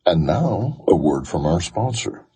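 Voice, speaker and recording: A man hosting a tea podcast, reading scripted narration with an animated, eager manner. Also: sits low, a little slow. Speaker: podcast host. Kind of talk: scripted narration and floor interviews.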